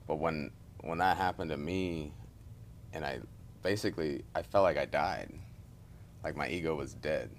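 A man hosting a podcast, asking his guest questions in a relaxed, soft-spoken way. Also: rumbling low, moderate pace, a little hiss or hum. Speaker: podcast host. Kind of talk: podcast interview with scripted intro.

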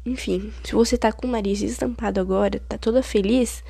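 Late-teen woman, Brazilian Portuguese accent, podcast host with a head cold; husky, dry close sound, chatty and dramatic. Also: medium pitch, fairly even intonation, brisk, mellow, no echo. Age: late teens. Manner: chatty, rambling, dramatic complaining. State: stuffy-nosed with a cold. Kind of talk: casual solo podcast chat.

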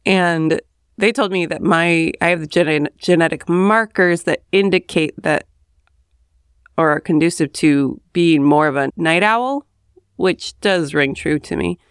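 A woman hosting her podcast, chatting in a rambling way with asides, hoarse from having just woken up at home. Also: lowish voice, a little slow, no room sound, studio quality. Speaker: podcast host. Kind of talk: casual solo monologue. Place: home, early morning.

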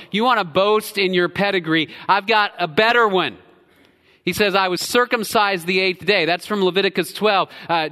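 A man from the United States preaching a sermon as pastor, swinging between loud emphatic stretches and quiet low drops. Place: church sanctuary. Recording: church hall with long reverb.

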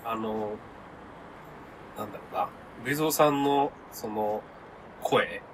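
A man in his thirties chatting casually as a podcast co-host, excitable and gushing, often trailing into half-finished sentences. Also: on the low side, a little slow, a little hiss or hum, rough recording. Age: 30s. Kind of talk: casual chat among friends.

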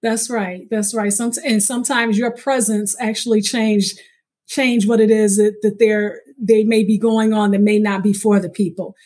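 A woman's voice: medium pitch, level tone, moderate pace, darkish tone. A woman hosting a podcast, interviewing a guest and addressing her listeners. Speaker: podcast host.

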